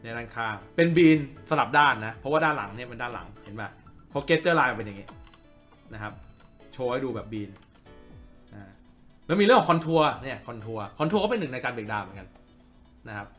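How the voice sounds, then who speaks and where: A man's voice: medium pitch, expressive, fast, pauses often, fairly bright tone, faint music behind. A man giving an informal drawing tutorial as the teacher, repeating phrases for emphasis and often checking in with listeners. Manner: checks in with listeners with frequent tag questions.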